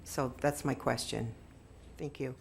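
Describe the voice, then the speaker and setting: Deep, gravelly woman at a podium. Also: steady tone, measured pace. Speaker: public commenter. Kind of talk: public comment.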